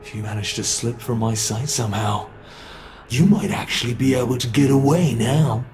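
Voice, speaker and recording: A man's voice: mid-range voice, normal speed, darkish tone, natural voice. A man voicing a smug, predatory male monster who teases the prey he is hunting, in an ASMR horror roleplay. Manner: teasing, taunting.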